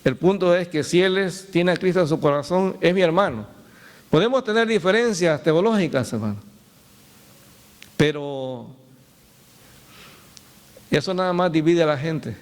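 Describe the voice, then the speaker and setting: A pastor preaches in a notably high voice for a man. He tells anecdotes, laughs, and keeps turning to the congregation with direct questions. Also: unhurried, pauses often. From El Salvador. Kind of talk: sermon.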